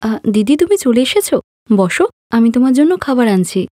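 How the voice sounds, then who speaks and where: A woman acts a meek young daughter-in-law in a cartoon family drama. The voice is high and close, with no room sound. The tone is gentle, plaintive and pleading. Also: big pitch swings, fairly quick, dry recording. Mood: obliging. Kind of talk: Bengali cartoon story voice acting.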